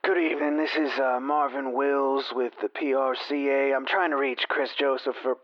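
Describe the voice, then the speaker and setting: An actor playing an awkward, conciliatory rodeo official leaving a halting, businesslike message through an answering machine filter. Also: male voice, medium pitch, steady tone, dry recording, lo-fi sound. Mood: formal, hesitant. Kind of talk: naturalistic radio drama acting.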